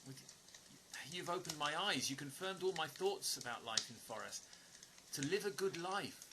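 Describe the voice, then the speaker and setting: A man playing an earnest stranger pleading for trust in an audio drama, speaking haltingly and repeating words. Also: fairly high pitch, expressive, noisy background. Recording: music under the voice. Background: music.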